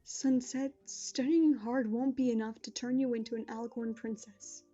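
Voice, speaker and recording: A woman playing a calm, kindly royal pony ruler in an audio drama, speaking in a gentle, measured and reassuring way. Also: on the high side, lightly acted. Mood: calm, firm. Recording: muffled, dull audio under music.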